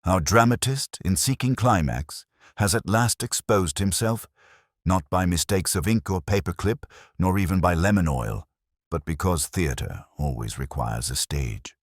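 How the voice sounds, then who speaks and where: A man voicing a calm, cutting police inspector in a mystery story, speaking deliberately and theatrically. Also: low voice, dry recording, clean studio sound, pitched above the performer's own voice. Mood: cutting, triumphant.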